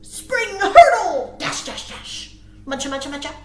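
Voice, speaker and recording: A high-voiced woman reads a children's story in a roomy, echoing space. She works as a storytime librarian, giving words dramatic emphasis and speaking expressively to her young listeners. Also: sing-song, a little slow, very noisy. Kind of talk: animated read-aloud to young children.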